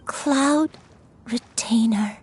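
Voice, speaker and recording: Slow, tender, remorseful granny. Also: female voice, on the high side, background noise. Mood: shaken realization. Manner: slow, tearful, tender, solemn storytelling. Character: gentle, remorseful granny, secretly a wild crane in human form. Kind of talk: dramatic video game voice acting.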